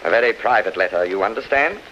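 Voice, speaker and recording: Swooping, florid old gallant. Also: male voice, fairly low pitch, lively intonation, fast, bright, forceful tone, slight background noise. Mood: conspiratorial command. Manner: theatrical, grandiloquent, hesitating for effect. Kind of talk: 1930s radio drama acting. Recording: dull 1930s radio recording, faint music.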